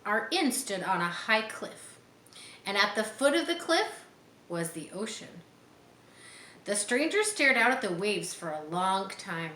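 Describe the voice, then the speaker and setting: A woman's voice: mid-range voice, sing-song, measured pace, sharp tone. A woman reading a children's chapter book aloud, with simple explanatory asides for young listeners. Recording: roomy echo, faint background noise.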